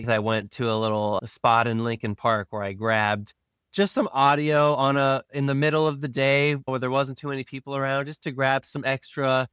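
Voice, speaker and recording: A man with a smooth, clear voice of medium pitch explains sound design as a podcast guest. His delivery is informal and casual. It sounds dry, with no echo. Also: fairly bright tone.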